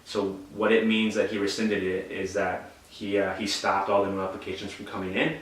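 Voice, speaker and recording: Low, flat-voiced young man in an echoey room. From Bolivia. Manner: measured, explanatory, some restarts. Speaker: interviewee. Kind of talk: calm explanatory testimony. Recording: echoey room, mic at a distance.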